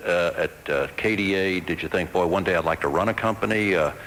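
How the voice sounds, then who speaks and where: A man's voice: low-pitched, brisk, fairly bright tone. A middle-aged man hosting a conversational radio interview, asking reflective questions that he rephrases as he goes. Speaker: radio talk show host. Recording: faintly noisy radio aircheck.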